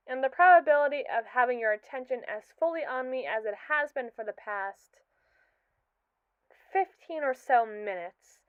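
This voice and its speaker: A woman voicing a condescending, obsessive android in an ASMR roleplay, speaking in formal, precise sentences with a smooth voice. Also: on the high side, no room sound. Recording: tinny, dry, phone-like sound.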